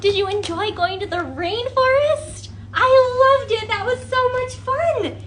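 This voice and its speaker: A woman's voice: very high-pitched, unhurried, a bit bright, slight background noise. A woman leading a children's storytime, reading a picture book expressively and putting questions to young listeners.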